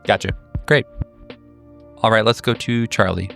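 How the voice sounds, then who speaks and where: A man's voice: on the low side, fairly even intonation, unhurried, creaky, a bit bright, faint music behind. A man hosting a tabletop role-playing podcast as game master, introducing the show and prompting players.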